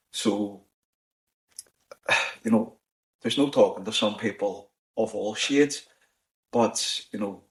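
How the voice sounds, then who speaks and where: A Northern Irish man hosting a podcast, talking in a measured way with frequent restarts and "you know"s. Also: lowish voice, low-quality recording. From Northern Ireland. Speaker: podcast host. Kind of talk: casual discussion. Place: in-person recording on the Shankill, Belfast.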